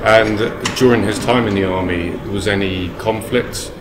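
A man's voice: lowish voice, steady tone, unhurried. A man hosting an interview on a sofa in a big indoor hall, asking friendly, direct questions. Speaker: interviewer and channel host. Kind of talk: casual interview. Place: large bright indoor hall, seated on a sofa. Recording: noisy room with air conditioning.

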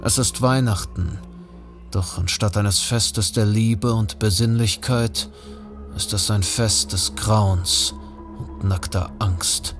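A man's voice: fairly low pitch, slow, over music. A man giving scripted narration for an audio drama thriller.

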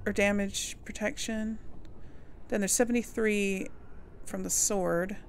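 Slow-paced woman with a creaky voice. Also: medium pitch, fairly even intonation, a little hiss or hum. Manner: thinking aloud, musing to herself. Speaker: game streamer, player of a role-playing game. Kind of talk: casual gameplay commentary.

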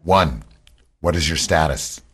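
Guy doing a stern army captain in a radio play, super low husky voice, barking short orders. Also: unhurried, fairly bright tone, heavily acted. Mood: firm, commanding. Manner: terse, commanding radio orders. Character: stern male military captain commanding a covert team. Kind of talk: radio drama acting.

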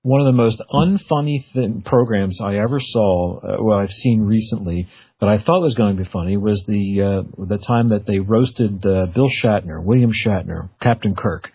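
A man's voice: fairly low pitch, normal speed, husky. A man hosting a radio show, interviewing in a casual, conversational style. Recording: narrow, phone-like radio sound.

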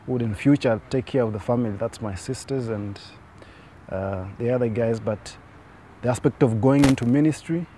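A man's voice: medium pitch, dark tone, a little hiss or hum. A man answering interview questions about his family. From Zambia. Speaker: theology student.

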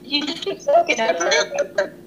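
A woman's voice: sits high, lively intonation, a little slow, lo-fi sound. A woman chatting casually as a caller through a walkie-talkie app. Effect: walkie-talkie app transmission. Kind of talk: casual chat. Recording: slightly noisy walkie-talkie app audio.

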